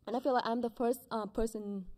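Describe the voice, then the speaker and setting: A woman's voice: on the high side, fairly even intonation, mellow. Earnest young woman, Vietnamese native speaker. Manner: earnest, halting, many ums. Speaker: public commenter, school aide. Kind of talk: public comment at a meeting. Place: school board meeting room. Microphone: desk microphone.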